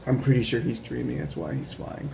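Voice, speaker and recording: A young adult man reading a children's picture book aloud at home, in a gentle storytelling way with chatty asides and questions for the listeners. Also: mid-range voice, very animated, fairly quick, creaky, background noise. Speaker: guest reader of a children's book. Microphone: camera mic.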